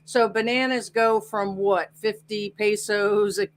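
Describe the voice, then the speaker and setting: A woman's voice: medium pitch, steady tone, a little slow. A woman talking casually and rambling a little as an interview guest on a video call. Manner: chatty, rambling, trails off.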